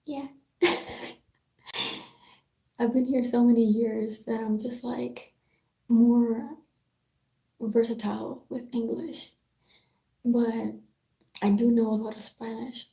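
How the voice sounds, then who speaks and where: A woman with a French accent speaks slowly in a slightly high, soft, mellow voice. She chats with her live-stream audience in an easygoing way and reads a book aloud. The sound is roomy and echoey, picked up through a home setup. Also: gravelly. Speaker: livestreamer reading a novel aloud. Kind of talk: casual live-stream chat and book reading. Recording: roomy echo.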